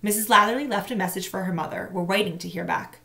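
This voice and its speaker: A stern, worried principal pressing with short questions, read by a woman doing the voice. The voice stays close to an everyday speaking voice. A slight gravel runs through it. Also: mid-range voice, quick pace, bright, forceful tone.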